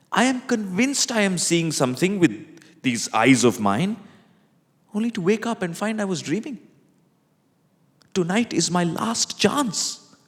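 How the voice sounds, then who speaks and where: Man playing a doomed playwright in cavernous reverb. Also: high-pitched. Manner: theatrical, deliberate, confessional. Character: doomed, sleep-cursed male playwright, rueful and desperate. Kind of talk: dramatic stage monologue reading. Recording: long hall-like reverb.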